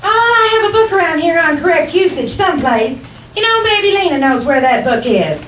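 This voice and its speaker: A woman playing an affectionate, sensible wife who gently teases and corrects, acted in 1940s radio comedy style. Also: very high voice, very animated, normal speed, exaggerated voice. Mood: patient. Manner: sing-song, gently correcting. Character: affectionate, teasing, sensible middle-aged wife. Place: theater stage before an audience. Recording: echoey live stage, distant mic, noise.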